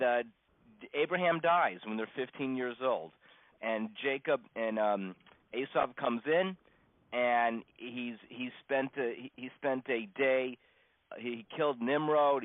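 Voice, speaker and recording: A man speaks as a rabbi telling stories in a relaxed, unscripted class. His delivery halts and doubles back, with stammered restarts and pauses. The sound is a dull, lo-fi conference line, very dry with no room around it. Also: medium pitch, dry recording. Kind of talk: informal religious lesson, unscripted storytelling. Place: teaching over a conference phone call.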